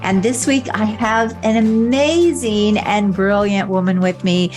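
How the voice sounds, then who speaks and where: A woman's voice: medium pitch, unhurried, over music. A woman hosting a business podcast, upbeat and enthusiastic as she introduces her guest. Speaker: podcast host.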